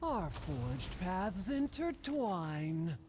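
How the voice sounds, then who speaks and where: A woman playing a calm, ceremonious stone-folk character in a video game, formal and deliberate. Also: lowish voice, noisy background, heavily acted. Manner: deliberate, formal, cryptic greetings. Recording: background music behind the voice.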